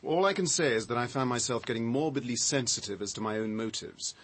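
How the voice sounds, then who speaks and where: An actor playing a shaken, defensive man with a halting, reflective, dry delivery in a radio drama. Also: medium pitch, animated, close to an everyday voice. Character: shaken man recounting his discovery, defensive, sardonic.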